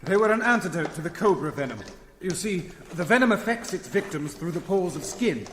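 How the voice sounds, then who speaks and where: Older explorer scientist, proper and contrite, old radio show, echoey with some hiss. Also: male voice, high-pitched, expressive, brisk, a bit bright. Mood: explaining, contrite. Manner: formal, explanatory, apologetic. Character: earnest, contrite older expedition scientist, father. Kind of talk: old-time radio adventure acting. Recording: echoey, noisy old-time radio recording.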